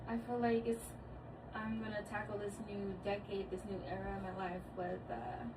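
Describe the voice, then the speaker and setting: A woman's voice: medium pitch, moderate pace. A woman of thirty chatting casually and thoughtfully, with plenty of fillers. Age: 30s. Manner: relaxed, thoughtful, speaks with many fillers. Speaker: guest, daughter. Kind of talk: casual family chat. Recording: distant mic with clear background noise.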